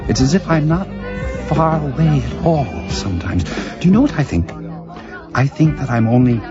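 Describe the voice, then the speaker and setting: An actor playing a naive, polite alien visitor in a comic audio drama, speaking formally, literally and haltingly. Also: male voice, fairly high pitch, lively intonation, lightly acted. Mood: wistful. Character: naive, polite male alien robot-like visitor among humans. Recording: dull lo-fi sound, music behind.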